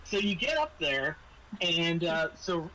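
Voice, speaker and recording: A man's voice: a little high, very animated, slow, a crowd in the background. A man narrating and refereeing a tabletop roleplaying game as game master. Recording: noisy room recording.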